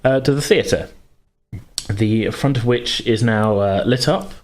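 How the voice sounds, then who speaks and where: A man's voice: fairly low pitch, slow pace, a little mellow, a little hiss or hum. A man acting as game master, casually improvising narration in a loose, conversational, hedging way.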